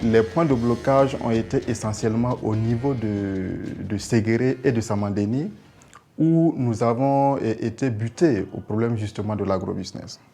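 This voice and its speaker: A man with a Burkinabe accent gives a spontaneous interview explanation. His voice is soft-edged and mellow at a middling pitch, and he often pauses to search for words. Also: soft background music. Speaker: project representative being interviewed.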